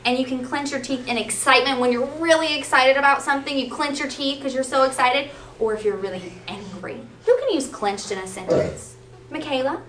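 A woman teaching young children in an interactive read-aloud, animated and often prompting them with questions and check-ins. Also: high voice, fairly quick. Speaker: elementary school teacher. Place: school classroom, children seated on the floor. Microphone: distant camera. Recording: roomy, distant, faint classroom noise.

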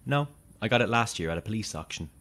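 An actor playing an eager, chummy, subtly creepy young fan in a radio drama, with an upbeat, coaxing manner. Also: male voice, fairly low pitch, lively intonation, quick pace, a bit bright. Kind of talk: naturalistic radio drama acting.

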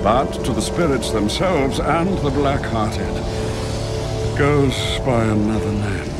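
An actor playing a wise, calm old dragon mentor in a video game, speaking in a solemn, cryptic, measured way. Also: male voice, mid-range voice, over loud music, lightly acted. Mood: grave warning.

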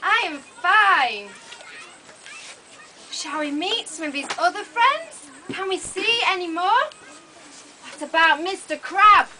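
A woman's voice: very high-pitched, lively intonation, slow, pauses often. A woman narrating a puppet show to young children with exaggerated, simple, questioning phrases. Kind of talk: animated storytelling to young children. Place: open-sided thatched hall, on a small stage. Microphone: camera some metres away. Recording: noisy open-hall recording, camera at a distance.

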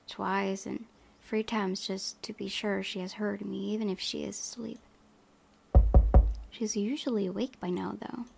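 A woman reading visual novel narration aloud as a let's play host, softly, with casual asides. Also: medium pitch, creaky.

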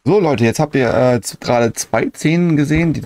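A man's voice: mid-range voice, fairly quick, darkish tone. A man narrating a retro game collector vlog in a casual, chatty way, with frequent hesitations and "äh" fillers.

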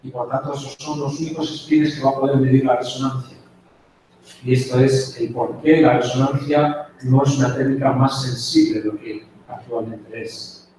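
A man's voice: mid-range voice, a little mellow. Older Spanish man lecturing in an echoey hall. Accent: Spanish from Spain. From Spain. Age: 60s. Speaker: guest lecturer, chemist. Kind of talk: conference lecture. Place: university auditorium, indoors. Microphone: handheld mic, picked up by distant camera. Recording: echoey hall from far off, faint noise.

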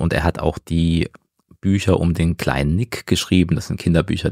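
Male host, deep gravelly voice, dry close sound, easygoing interviewer. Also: a little mellow, no echo. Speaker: podcast host. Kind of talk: casual interview.

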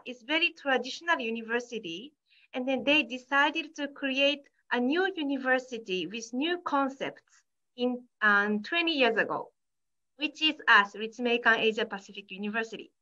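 A woman's voice: sits high, animated, moderate pace, sharp tone. A young woman giving an informal online presentation as a university representative, heard over a video call. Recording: dull lo-fi video call audio.

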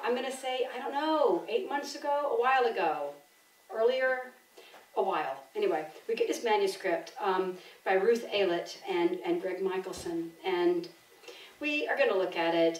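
Older female event host, rambling and enthusiastic, roomy stage sound, slightly off-mic. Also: mid-range voice. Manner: rambling, enthusiastic, animated gestures. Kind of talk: informal stage introduction. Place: on a theatre stage. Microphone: gooseneck lectern microphone. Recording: roomy theatre, distant from mic.

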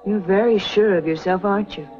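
An actress playing a gentle young pioneer woman. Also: medium pitch, brisk, lightly acted. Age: young adult. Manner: gentle, teasing. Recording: dull old TV soundtrack with music.

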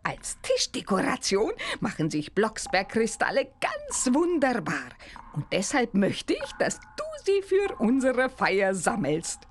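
A woman's voice: fairly high pitch, wildly swooping pitch, slight background noise, exaggerated voice. A theatrical witch, wildly swooping intonation. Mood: grand. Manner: theatrical, deliberate, instructing. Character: stately, mysterious adult witch giving a task. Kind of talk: cartoon game voice acting.

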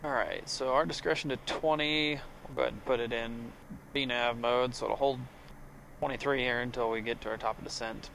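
A man's voice: medium pitch, fairly even intonation, a little fast, gravelly, fairly bright tone, a little hiss or hum, low-quality recording. A man, a pilot, chatting casually over a headset in a small aircraft cockpit. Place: small turboprop cockpit in flight. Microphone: aviation headset. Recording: engine drone, cockpit headset audio.

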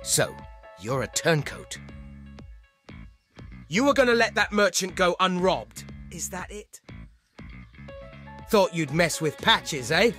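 Casting: taunting gang boss for comic dub, sharp, animated, grudgingly kind. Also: male voice, sits high, takes it slowly, long pauses, exaggerated voice. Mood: mocking menace. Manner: taunting, theatrical. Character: sly, menacing male bandit boss with grudging kindness. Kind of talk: manga comic dub acting. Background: music under the dialogue.

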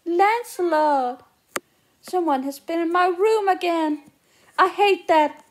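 A woman's voice: extremely high pitch, pitched above the performer's own voice. A young woman playing a dramatic, self-pitying, petulant teenage girl in a theatrical amateur film-scene reenactment. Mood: indignant, dramatic. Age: young adult. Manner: theatrical, declamatory, drawn-out. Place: indoor room at home. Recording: noisy handheld phone recording.

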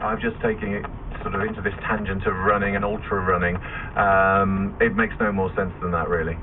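A man's voice: low voice, level tone, a little fast. Man, forties, self-deprecating interviewee, slightly off-mic, phone-like audio with faint noise. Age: 40s. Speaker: interview guest, endurance runner. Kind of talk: casual radio interview answers. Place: radio studio. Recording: distant, phone-like radio recording, faint noise.

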